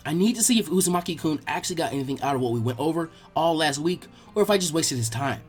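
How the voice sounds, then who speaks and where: A man's voice: fairly high pitch, expressive, quick pace, soft background music, natural voice. A man voicing an earnest, bookish young girl tutor who explains things kindly and teasingly in an audiobook-style story. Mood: earnest explaining.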